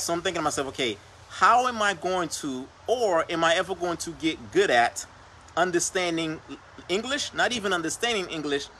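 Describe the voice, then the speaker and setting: Man raised in Haiti, Creole first language, host, bright forceful voice, a little high, faint noise behind. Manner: earnest, repeats phrases, says "per se" and "right?". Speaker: podcast host. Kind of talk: motivational livestream talk.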